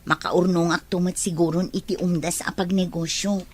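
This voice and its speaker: A woman acting as an apologetic but determined heroine with a slightly husky voice. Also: on the low side, fairly even intonation, normal speed, close to an everyday voice. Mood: gently insistent. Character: Annalyn, apologetic, determined woman leaving for work abroad. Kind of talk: Ilocano radio-style drama acting.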